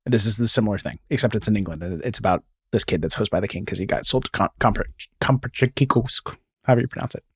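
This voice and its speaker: A man's voice: on the low side, fast talker, slightly rough, dry recording. Casual explainer man, close dry sound. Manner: informal, digressive explainer with frequent asides. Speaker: podcast host. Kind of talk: casual explanatory storytelling about film and history.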